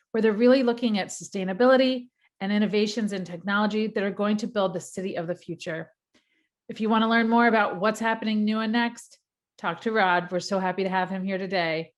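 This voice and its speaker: Woman host, mid-range voice, friendly chatty interviewer. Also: medium pitch. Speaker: podcast host. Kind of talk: interview hosting.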